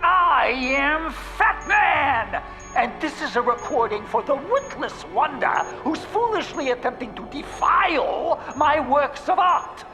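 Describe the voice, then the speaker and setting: A gloating, theatrical mad bomber from a video game. His voice is very high and slow, echoing in a big hall with music underneath. He savors every boastful taunt. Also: expressive, bright, forceful tone, full character voice. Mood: gleeful, gloating. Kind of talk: video game villain acting.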